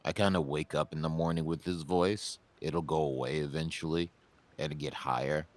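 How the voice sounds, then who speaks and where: A man's voice: steady tone, moderate pace. A man co-hosting a livestream, chatting casually with self-deprecating humor, and relaying a guest's words as he rambles along. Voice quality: very deep bass voice. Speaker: stream co-host relaying a guest.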